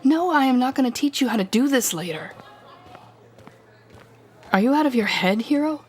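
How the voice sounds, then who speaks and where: A woman's voice: sits high, animated, normal speed, a little mellow, slight background noise. A woman playing a cold, commanding telepathic villain who taunts a captive hero in an intimate audio roleplay. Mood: scornful. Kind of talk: intimate second-person audio roleplay acting.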